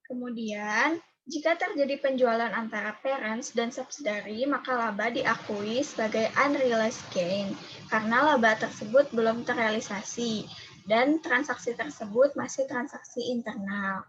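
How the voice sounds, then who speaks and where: A young woman giving an explanatory student presentation in a halting way. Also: a little high, background noise. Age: young adult. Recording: dull, lo-fi microphone sound.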